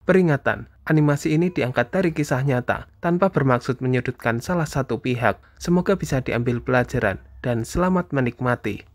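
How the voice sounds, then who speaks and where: A man with a medium-pitched voice reads a scripted disclaimer as an announcer. Music plays underneath. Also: fairly quick.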